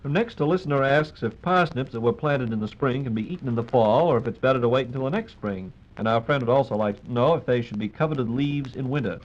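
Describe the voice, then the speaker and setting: A man's voice: mid-range voice, fast talker, hardly any pauses. An American man hosting a 1940s radio program in a polite, formal, scripted announcing style. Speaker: radio host. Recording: dull 1940s radio broadcast recording.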